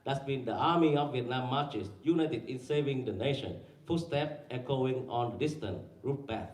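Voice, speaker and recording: Male panel presenter, mid-pitched and halting, heavy fillers, quizzes the room, recorded from a distance. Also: darkish tone. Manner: halting, many fillers and restarts, quizzes the audience. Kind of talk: academic presentation with slides. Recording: echoey room, mic far away.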